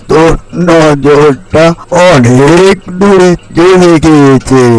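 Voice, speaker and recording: Slow-talking scolding old granny, voiced by a man. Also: a little high, a little mellow, rough recording. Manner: slow, drawn-out, exclamatory delivery. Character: scolding old village granny guarding her tamarind tree. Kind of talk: cartoon fairy-tale acting.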